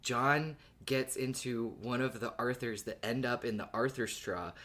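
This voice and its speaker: A woman co-hosting a podcast in casual, excitable, giggly chat. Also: a bass voice, unhurried. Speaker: podcast co-host.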